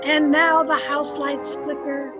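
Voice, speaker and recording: High-voiced woman announcer, faint music behind. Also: flat delivery, takes it slowly. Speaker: announcer, narrator of radio drama. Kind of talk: scripted narration.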